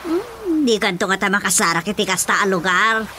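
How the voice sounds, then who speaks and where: A woman's voice: medium pitch, sing-song, normal speed, sharp tone, a little hiss or hum. A woman playing an exasperated, questioning wife in an Ilocano radio comedy-drama, full of doubtful exclamations. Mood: skeptical. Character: old man's skeptical, questioning, exasperated wife.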